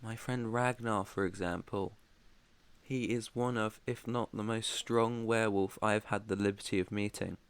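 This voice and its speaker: A man's voice: on the low side, steady tone, moderate pace, smooth, close to an everyday voice. A British man playing an ancient vampire master in an ASMR roleplay, proud, protective and tender, with an earnest, slightly formal way of talking. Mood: confident, reassuring. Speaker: roleplay voice performer.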